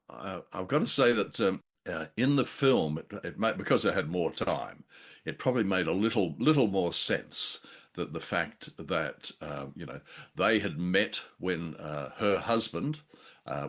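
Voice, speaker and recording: A middle-aged man with a very deep voice hosts a casual film chat. He talks haltingly, with a jocular streak, as he works through his points. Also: a bit bright. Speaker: podcast host.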